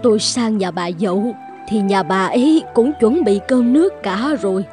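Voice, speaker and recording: A woman's voice: medium pitch, moderate pace, lightly acted. A woman voicing a kindly old village woman in a fairy-tale cartoon. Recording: faint music behind the voice.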